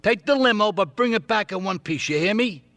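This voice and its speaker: A man playing an older mob boss in a game cutscene, gruff and commanding. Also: high voice, animated, fairly quick, fairly bright tone. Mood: bossy. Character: older male mob boss, bossy and crude. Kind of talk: video game cutscene acting.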